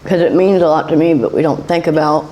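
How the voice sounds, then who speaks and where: A woman in her forties from South Carolina, answering interview questions in a hesitant, halting way. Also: low-pitched, dark tone, poor audio. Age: 40s. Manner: hesitant, halting with many fillers. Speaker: guest.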